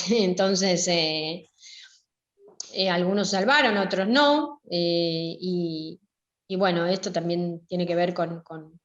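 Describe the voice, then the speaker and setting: A woman's voice: fairly low pitch, slow pace. A woman with an Argentine accent, a photographer being interviewed, speaking reflectively and searching for her words. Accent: Argentine Spanish. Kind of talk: conversational interview. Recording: echoey, dull lo-fi sound.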